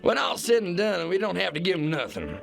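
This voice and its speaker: Man playing a high-voiced pompous kingpin with creaky swagger. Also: wildly swooping pitch, a little fast, soft background music, exaggerated voice. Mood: scheming, persuasive. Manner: pompous, folksy, wheeling and dealing. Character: smug, pompous male kingpin scheming over capital gain. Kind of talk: absurdist satirical audio play acting.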